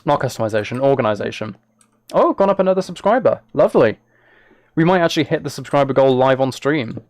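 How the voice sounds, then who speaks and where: A man's voice: mid-range voice, lively intonation, fast talker, darkish tone, no room sound. A man chatting casually on a live game stream, thinking aloud as he plays and talking to his viewers.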